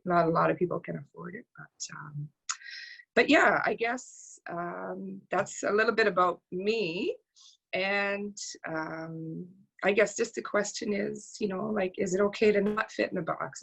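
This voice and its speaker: Husky low-voiced woman chatting casually. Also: a bit bright, low-quality recording. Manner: chatty, laughs easily, trails off with ums. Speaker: workshop participant. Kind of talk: casual chat on a video call. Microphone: video call.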